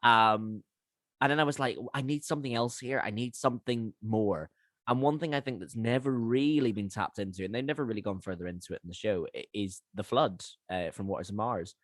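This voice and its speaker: A man's voice: on the low side, brisk, fairly bright tone, no echo, clean studio sound. A man who writes and directs audio drama, chatting informally and animatedly on a podcast. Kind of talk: casual behind-the-scenes podcast chat.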